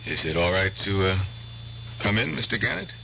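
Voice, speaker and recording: An actor playing an earnest, polite doctor in a radio drama, speaking formally with careful hesitations. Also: male voice, sits low, big pitch swings, normal speed, creaky, sharp tone, full character voice. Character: earnest, probing male doctor, polite and measured. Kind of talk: 1970s radio drama acting. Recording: dull, faintly noisy old radio recording.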